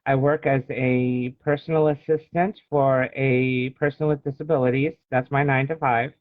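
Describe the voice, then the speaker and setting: A man's voice: mid-range voice, little pitch movement, normal speed, dry recording. American male author guest, forties, casual interview talk, narrow phone-quality sound, dry. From Illinois, United States. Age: 40s. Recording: thin phone-like call audio.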